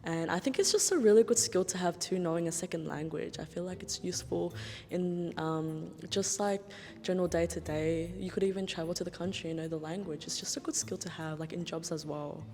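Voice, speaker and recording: A woman explains her points practically as a panel guest, her voice slightly low. A long reverb trails her words. A faint low rumble sits underneath. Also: brisk, a little mellow. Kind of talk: conversational panel discussion.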